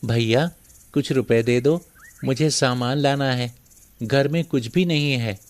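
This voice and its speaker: A man's voice: mid-range voice, measured pace, lightly acted. A man playing a poor, kind-hearted younger brother, meek, earnest and pleading, in a moral story cartoon.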